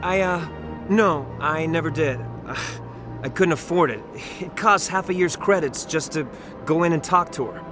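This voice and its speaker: An actor voicing a nervous, awkward young soldier who stammers. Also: male voice, fairly high pitch, normal speed, natural voice. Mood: flustered. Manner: flustered, stammering. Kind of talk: video game voice acting. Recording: game audio over music.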